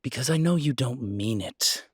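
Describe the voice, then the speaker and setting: A man playing a devoted, stubborn, protective werewolf detective in an intimate audio roleplay, speaking earnestly and insistently with emphatic pauses. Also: mid-range voice, lively intonation, breathy edge, no echo, studio quality. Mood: upset, insistent. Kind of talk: dramatic roleplay audio acting, intimate one-sided dialogue.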